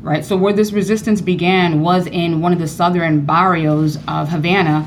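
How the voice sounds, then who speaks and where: A woman born in Cuba speaks as a guest in a radio interview, with a voice that sits slightly low. She talks earnestly and grows animated, leaping higher when she presses a point. A faint low rumble sits under her voice. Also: moderate pace, rough recording. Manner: earnest, animated, rising high when emphatic. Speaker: professor and author, guest.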